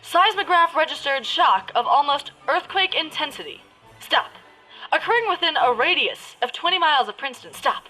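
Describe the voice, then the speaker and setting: Radio parody role: prim, foul-mouthed news interviewer female performer, high and forceful, mock-formal announcing, faint music behind. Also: lively intonation, moderate pace, poor audio. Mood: official, brisk. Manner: mock-formal, breaking into crude asides. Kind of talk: parody of 1930s radio announcing, partly improvised.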